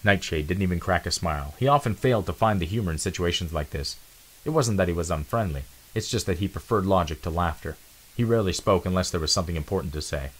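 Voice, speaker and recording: A man's voice: sits low, poor audio. A man giving a dramatic narration of an adventure storybook.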